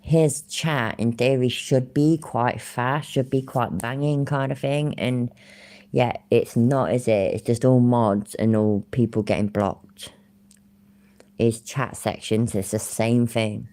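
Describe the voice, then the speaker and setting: A woman's voice: rumbling low, steady tone, slow pace, warm tone. A woman with an English Midlands accent, chatting casually to her live-stream audience; she rambles, swears freely and laughs often.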